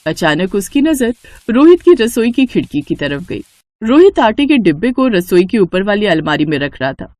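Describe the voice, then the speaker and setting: A woman's voice: medium pitch, very animated, moderate pace, darkish tone. A woman reading a scripted story as narrator.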